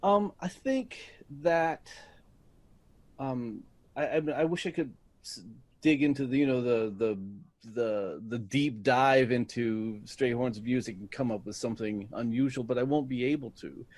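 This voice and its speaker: A man's voice: medium pitch, a little slow, darkish tone, dry recording. A man being interviewed as a guest, reflecting thoughtfully and often searching for his words. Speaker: interview guest, voice actor in an audio drama.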